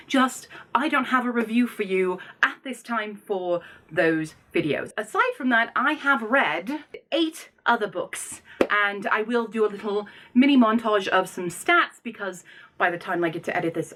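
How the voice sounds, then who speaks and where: A woman's voice: medium pitch, fairly bright tone. A woman speaking casually and informally as a video host, effusive and opinionated in the way she reviews. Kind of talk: casual chatty book vlog.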